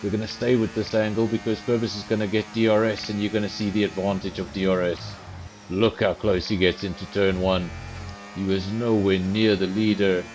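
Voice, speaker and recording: A man's voice: fairly low pitch, little pitch movement, a little fast, over music, rough recording. A man giving live commentary on an online racing league stream, chatty and self-deprecating, talking straight to his viewers. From South Africa. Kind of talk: live esports race commentary.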